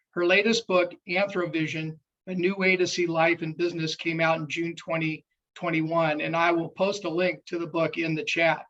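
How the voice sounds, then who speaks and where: A man with a somewhat high voice reads a formal introduction in a very flat, steady way. The sound is dull and lo-fi, as on a video call. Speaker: club member introducing the speaker. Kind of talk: reading a scripted introduction. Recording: dull lo-fi audio.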